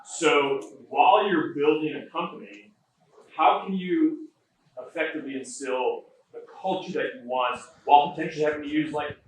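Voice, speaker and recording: A man in a conference audience asking a question, loud and eager. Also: a little high, normal speed. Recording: distant echoey hall pickup with faint noise.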